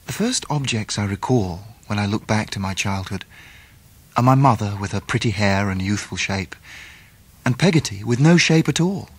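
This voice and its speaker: An actor playing a reflective English gentleman narrating his own life in a radio drama. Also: fairly low pitch, breathy edge.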